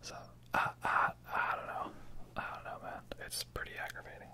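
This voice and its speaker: A person whispering breathy, casual, rambling commentary over a video game in an ASMR style. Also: normal speed, sharp tone.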